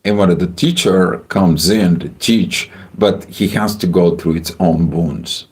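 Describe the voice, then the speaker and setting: A man from Bulgaria, hosting a livestream astrology forecast in a halting way with many filler sounds. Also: low voice, a little mellow.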